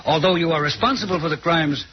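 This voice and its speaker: An actor playing a confident, condescending detective who lectures and teases in an old-time radio mystery. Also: male voice, fairly high pitch, fast talker, lightly acted. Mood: explaining. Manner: dramatic, lecturing, teasing. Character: confident, theatrical male detective, shrewd and condescending. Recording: muffled, noisy old radio recording.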